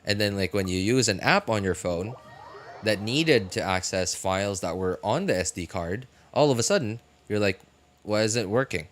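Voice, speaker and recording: A man talking casually on a live game stream in a rambling, halting way, often correcting himself. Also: on the low side, expressive, slight background noise.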